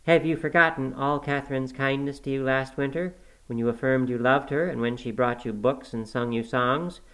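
A man's voice: mid-range voice, lightly acted, rough recording. A stern elderly woman servant in a classic novel, played by a male narrator. She is passionate and scolding, speaking with emphatic, reproachful indignation. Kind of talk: audiobook character acting.

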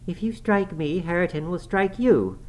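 A man voicing a bold, sharp-tongued young woman in an audiobook, pert and insistent. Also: mid-range voice, moderate pace, pitched above the performer's own voice, natural voice. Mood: defiant. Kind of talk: audiobook character acting. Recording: clearly noisy recording.

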